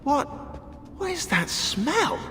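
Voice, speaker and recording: A man's voice: very high voice, very animated, takes it slowly, pushed and bright, heavily acted. An actor playing a snide, fussy, put-upon British man with mock-polite sarcasm in a video game cutscene. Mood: disgusted. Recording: long echoing hall reverb.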